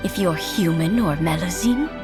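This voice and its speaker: A woman playing a gentle, grateful elderly woman in a video game, speaking tenderly and without hurry. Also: on the low side, over loud music, lightly acted. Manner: tender, unhurried, heartfelt. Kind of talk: scripted video game acting.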